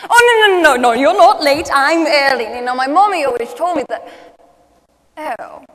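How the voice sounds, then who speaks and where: A woman's voice: high voice, animated, moderate pace, a bit bright. A woman playing a coquettish, fragile, grand aging film star in a gushing, dramatic stage monologue. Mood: coquettish, flustered. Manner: gushing, dramatic, calls people darling. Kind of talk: solo dramatic monologue performance. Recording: echoey hall, long reverb.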